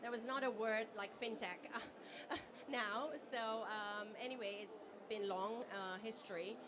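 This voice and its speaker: A woman from Japan, a native Japanese speaker, speaking on a conference panel in a halting way as she searches for words. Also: a little high, steady tone, background noise. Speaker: panelist, startup founder.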